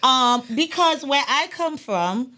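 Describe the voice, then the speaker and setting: A woman's voice: high-pitched, animated, slow pace, heavy background noise. A woman from Nigeria and Ghana, a candid interview guest who laughs easily. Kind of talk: casual interview chat.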